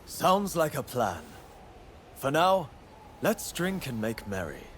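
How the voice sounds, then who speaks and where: An actor playing a proud, wry samurai in a video game, speaking formally with a haughty edge. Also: male voice, mid-range voice, very animated, takes it slowly, a bit bright, slight background noise. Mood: wry concession. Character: proud, wry samurai rival who enjoys drinking. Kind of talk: dramatic video game dialogue.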